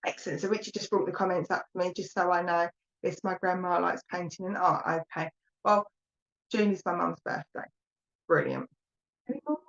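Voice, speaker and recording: A woman with an Essex accent giving a live psychic reading, chatty and rambling, talking warmly to viewers by name. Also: lowish voice, steady tone, fairly quick, vocal fry, dull audio. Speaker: psychic medium. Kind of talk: live online mediumship reading.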